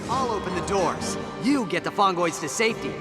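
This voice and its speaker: A man's voice: high-pitched, lively intonation, a little fast, over music. A man playing a young, determined alien hero in a video game, earnest and urgent in a casual way. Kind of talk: video game character acting.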